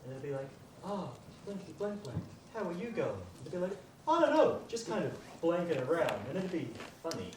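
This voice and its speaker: Stage comedy underling: young, flippant wisecracker woman in the part, animated delivery, distant and noisy pickup. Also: lowish voice, fairly quick. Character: cheeky, inattentive young male underling called Junior. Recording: very echoey, distant, noisy room.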